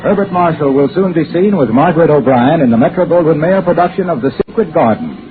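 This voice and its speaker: An American man announces in scripted 1940s radio style, with a highish voice that moves freely up and down. The sound is thin and phone-like, with music behind. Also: expressive, fast.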